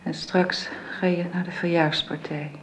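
Woman acting composed wife who keeps probing, low voice, radio play, faint hiss. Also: level tone, a little slow, close to an everyday voice, poor audio. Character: probing, composed hospitalised wife, gently insistent.

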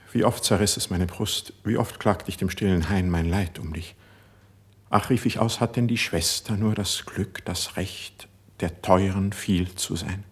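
A deep, faintly husky male voice plays an impassioned court poet. He declaims verse with lofty, measured melancholy, in the manner of a classic stage-style radio play. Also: heavily acted.

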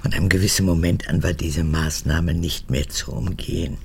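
An actress in a radio drama playing an aged, needy and domineering mother, an unrepentant former guard, with a deep, gravelly voice that moves between pleading and hardness. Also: moderate pace, slight background noise. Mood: evasive, hard. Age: older.

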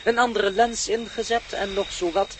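An ancient alien creature voiced by a radio play actor, calm and courteous. The speech is formal and measured, matter-of-fact about everything. A faint noise sits under the old radio drama recording. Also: medium pitch, lightly acted, rough recording. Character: polite blue alien from an ancient civilisation, calm and helpful. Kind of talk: Dutch radio play acting.

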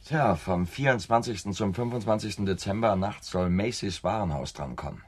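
An actor playing a calm, measured undercover police agent, earnest and matter-of-fact, in a 1970s German radio crime drama. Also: male voice, lowish voice, breathy edge. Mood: sober.

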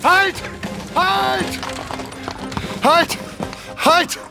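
An actor in a radio drama playing an effusive, sentimental middle-aged gentleman with a gushing, theatrical manner. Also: extremely high pitch, steady tone, drawn-out words, creaky, music in the background, heavily acted. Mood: frantic shouting. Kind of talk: German radio drama acting.

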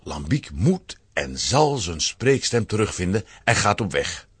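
Male narrator, children's audio story: low, very raspy, dramatic emphasis, close dry sound. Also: sing-song, unhurried, no room sound.

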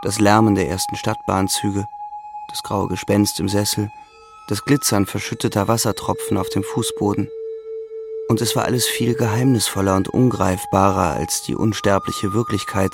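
Low, creaky male narrator. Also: little pitch movement, normal speed, over music. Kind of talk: scripted literary narration.

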